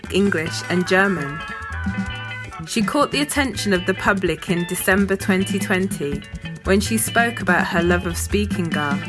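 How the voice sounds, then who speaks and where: A woman hosting an interview series, reading a measured, scripted introduction. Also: fairly low pitch. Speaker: host, magazine editor. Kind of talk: interview host.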